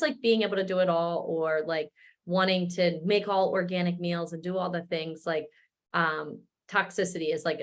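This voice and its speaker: A woman in her thirties, a wellness coach, talking in a relaxed, casual way with lots of fillers. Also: on the low side. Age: 30s. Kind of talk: casual chat.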